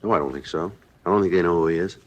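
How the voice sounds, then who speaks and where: An actor playing a terse, deadpan American police detective sergeant in a 1950s radio drama, matter-of-fact in dialogue and narration. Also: male voice, deep voice, very fast, muffled recording, lightly acted.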